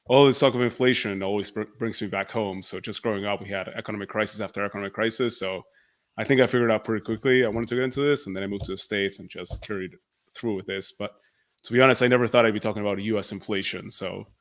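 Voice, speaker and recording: A man's voice: on the low side, fairly even intonation, fast talker. A man from Argentina speaking as an expert guest, explaining things informally in a casual interview. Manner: informal, explanatory, peppered with "like" and "right?". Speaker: economics professor, podcast guest.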